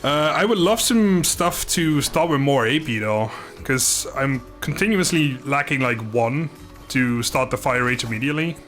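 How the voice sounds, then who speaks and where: A man's voice: mid-range voice, animated, moderate pace, a bit bright. A man giving casual, rambling, self-deprecating commentary as he livestreams a video game. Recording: music playing behind the voice.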